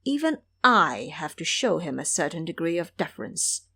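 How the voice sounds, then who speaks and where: A woman playing an authoritative female bodhisattva, composed and formal, admonishing in a measured way. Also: lowish voice, big pitch swings, bright, forceful tone, slightly rough, full character voice. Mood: stern. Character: serene, authoritative female bodhisattva, sternly maternal.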